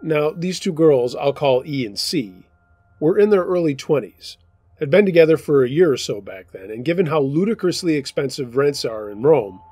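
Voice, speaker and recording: A man's voice: mid-range voice, no echo. Man narrating true scary stories. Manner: steady, measured first-person narration. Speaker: storyteller host. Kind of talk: reading submitted true scary stories aloud.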